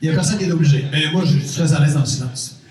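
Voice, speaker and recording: A middle-aged man with a Québécois French accent speaks casually to a lecture audience, repeating words for weight and joking with listeners. His voice is mellow and dark. It carries the long echo of a large hall, picked up from some distance. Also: on the high side, rapid-fire. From Quebec. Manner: conversational, repeats phrases for emphasis, jokes with the crowd. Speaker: mayor giving a talk. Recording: echoey hall, mic at a distance.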